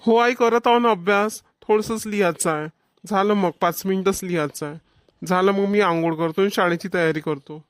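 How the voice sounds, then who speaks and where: A woman's voice: medium pitch, moderate pace, dry recording, lightly acted. Bossy cartoon schoolboy, dry and close woman acting. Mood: earnest. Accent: Maharashtrian, rural Vidarbha dialect. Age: child character, about eleven. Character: bossy schoolboy older brother, sixth grader. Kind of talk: Marathi cartoon comedy dialogue.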